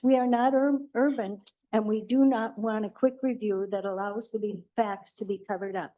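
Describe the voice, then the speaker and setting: A woman with a somewhat high but mellow voice speaks during public comment in a city council chamber. Her delivery is firm and pointed. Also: low-quality recording.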